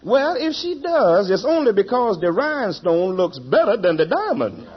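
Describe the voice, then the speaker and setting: A man's voice: sits high, very animated, normal speed, breathy edge, faint music behind, heavily acted. A man playing a frank, unimpressed pawnbroker with dry mockery in a 1940s radio sitcom. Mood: dryly mocking. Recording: muffled, noisy vintage radio recording with clicks.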